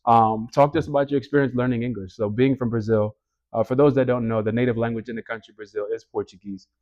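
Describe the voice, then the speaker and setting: A man's voice: medium pitch, steady tone, fast talker, a little mellow, highs cut off. A man in his thirties hosting a casual interview podcast, chatty and enthusiastic. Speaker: podcast host.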